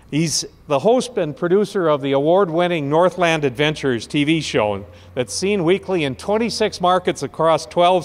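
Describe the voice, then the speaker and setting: Fired-up guy making a speech at a rally, kinda high voice, long echo on it. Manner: impassioned, emphatic, slows down to stress key words. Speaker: rally speaker introducing the next speaker.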